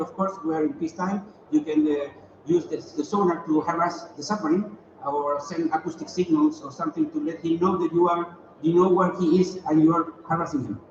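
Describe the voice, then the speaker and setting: Chilean man presenting from far in a hall. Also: a little high. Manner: hesitant, repeats words while explaining slides. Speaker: sonar industry presenter. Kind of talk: conference presentation. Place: large conference hall. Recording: distant echoey hall, dull and noisy.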